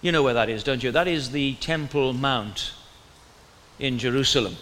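Welsh preacher in a reverberant hall. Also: male voice, mid-range voice, moderate pace, a bit bright, slight background noise. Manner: conversational, asks the listeners questions. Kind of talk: sermon.

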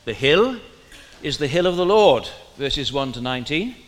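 A man's voice: fairly high pitch, big pitch swings, moderate pace, in a large hall. A man with a Welsh accent preaching a sermon in a conversational way, often asking his listeners questions.

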